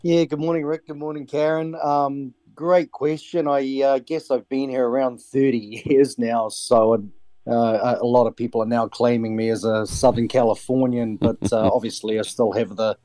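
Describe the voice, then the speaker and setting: A man's voice: medium pitch, level tone, moderate pace, warm tone, no echo. A middle-aged man from New Zealand with a New Zealand accent, a sailing skipper answering interview questions with many ums and restarts. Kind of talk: interview over the air.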